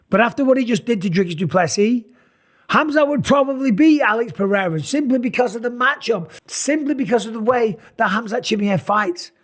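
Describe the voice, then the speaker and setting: A middle-aged man with a raspy, sore voice, tired and talking in an animated, casual way as he breaks down fight matchups. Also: high-pitched. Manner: animated, emphatic, rambling asides. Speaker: MMA analyst and channel host. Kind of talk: casual fight commentary to camera.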